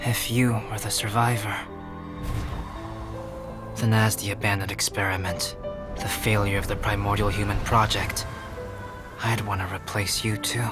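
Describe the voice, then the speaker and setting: An actor playing a calm, scholarly young male alchemist in a game, speaking in measured, formal, explanatory phrases. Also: lowish voice, close to an everyday voice. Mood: hushed, brooding. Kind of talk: scripted game dialogue, explanatory. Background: music.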